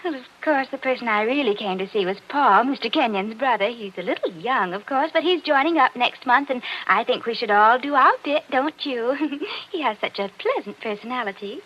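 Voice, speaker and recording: A woman's voice: on the high side, lively intonation, brisk, pushed and bright. An actress playing a scatterbrained, flirtatious society woman who gushes and dithers in 1940s radio drama style. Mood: coy, fluttery. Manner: gushing, dithering, coy. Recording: dull, noisy 1940s radio recording.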